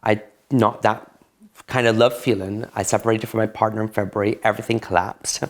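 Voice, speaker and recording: Reflective man, somewhat low voice. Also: flat delivery. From Barbados. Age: late 30s. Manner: candid, reflective, unhurried storytelling. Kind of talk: personal interview testimony.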